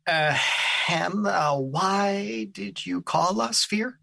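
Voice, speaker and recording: A woman playing a calm, pitying personification of a positive emotion in an audio drama, gently reproving. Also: medium pitch, drawn-out words, creaky, heavily acted.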